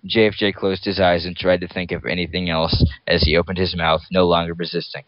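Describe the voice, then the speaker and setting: A man with a low voice reads a story as a dramatic narrator. The recording is dry and close, with a dull, lo-fi sound. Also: flat delivery, a little fast, dry recording. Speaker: reader. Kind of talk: dramatic reading of a fan story.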